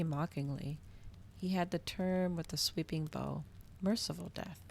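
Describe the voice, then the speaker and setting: A woman reading a novel aloud in a soft, cozy ASMR style, at times slipping toward a whisper. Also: deep voice, vocal fry, a little hiss or hum.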